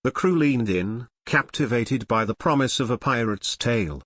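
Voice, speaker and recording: A man giving scripted storybook narration of an audio drama in a measured, dramatic way. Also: mid-range voice, lively intonation, fairly bright tone, slightly rough.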